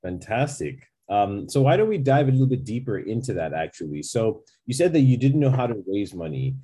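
A man with a fairly low, husky voice hosts a conversational interview. He sounds informal and inquisitive, putting questions to a guest. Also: very animated, a little fast, warm tone. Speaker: podcast host and interviewer.

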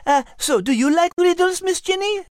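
A woman's voice: very high-pitched, expressive, moderate pace, no echo, studio quality. A woman playing a coy, refined French lady animal with a French accent, hosting a children's audio story in a prim, playful way. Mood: playful, polite.

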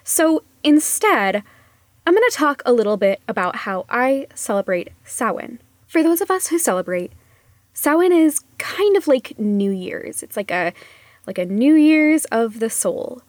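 Higher-pitched woman, gently conversational. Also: sing-song, measured pace, no room sound. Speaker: podcast segment presenter. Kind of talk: casual, reflective monologue to listeners.

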